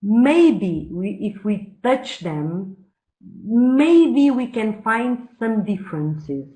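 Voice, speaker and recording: A woman teacher answering hesitantly on a webinar video call, with many pauses and restarts. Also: a little high, big pitch swings, mellow, rough recording.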